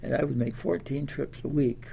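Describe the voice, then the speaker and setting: A man of ninety with an American Midwestern accent, reminiscing in a halting, rambling way with repeated words. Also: mid-range voice, creaky, warm tone, a little hiss or hum. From Des Moines, Iowa, United States. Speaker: interviewee, World War Two veteran. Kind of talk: oral history reminiscence.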